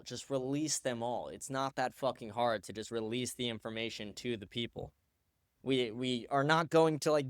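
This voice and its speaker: A man's voice: mid-range voice, clear, resonant tone, no room sound, clean studio sound. A man hosting his own live stream, reacting to clips and posts in a blunt, profane, ranting way. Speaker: show host.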